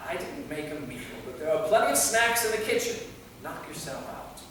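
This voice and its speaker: A man's voice: on the high side, very animated, fairly quick, fairly bright tone. A man acting an anxious, earnest, centuries-old vampire knight in a live staged play reading. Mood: defensive. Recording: echoey hall from far off, faint noise.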